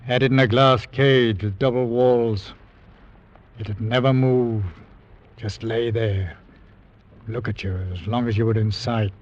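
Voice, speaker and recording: An actor playing a grave, commanding sea captain in a vintage radio drama, speaking in terse, weighty phrases. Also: male voice, medium pitch, steady tone, measured pace, long pauses, natural voice. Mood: grim, ominous. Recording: dull vintage radio recording.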